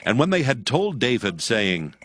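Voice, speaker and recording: A man narrating scripture from a script in a formal, measured, solemn manner. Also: mid-range voice, sing-song, a bit bright. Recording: music behind the voice.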